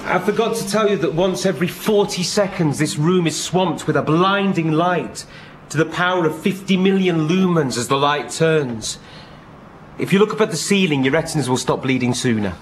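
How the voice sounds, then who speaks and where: Man acting a deadpan reclusive rock-star lighthouse keeper. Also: fairly high pitch, moderate pace, a little hiss or hum, natural voice. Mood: dry, matter-of-fact. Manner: deadpan, dry, evasive. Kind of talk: comic radio play acting.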